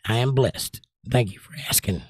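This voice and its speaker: Slightly hoarse, chatty middle-aged man. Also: mid-range voice, normal speed, dry recording, clean studio sound. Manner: chatty, joking, teasing. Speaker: livestream host. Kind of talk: casual chat reading viewer comments aloud.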